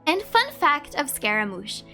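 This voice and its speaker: High-voiced woman narrating game trivia. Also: very animated, measured pace, pushed and bright, soft background music. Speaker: host of a game trivia video. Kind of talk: scripted narration with casual asides.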